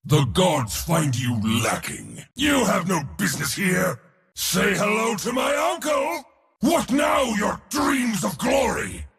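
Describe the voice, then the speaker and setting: A man playing an arrogant, boisterous war god in a video game, speaking in a bombastic, boastful way with a gravelly, raspy voice. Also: high-pitched, big pitch swings, slow pace, fairly bright tone, raised voice, long reverb tail, heavily acted. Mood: triumphant, taunting. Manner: bombastic, boastful, theatrical delivery. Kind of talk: video game hero voice lines.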